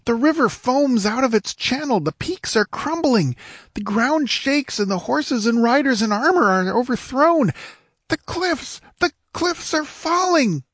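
A man voicing an excitable young squire in a fantasy audiobook, declaiming breathlessly. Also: high voice, moderate pace, dry recording, pitched above the performer's own voice. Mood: horrified. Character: young squire, frantic excitable lookout at a battle. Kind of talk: dramatic fantasy audiobook character voice.

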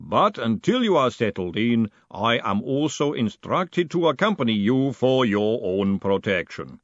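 A man voicing a huge, placid clay golem who speaks slowly and deliberately in a deep rumble. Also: very animated, dry recording. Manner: slow, deep, rumbling, measured and literal. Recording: dull lo-fi recording.

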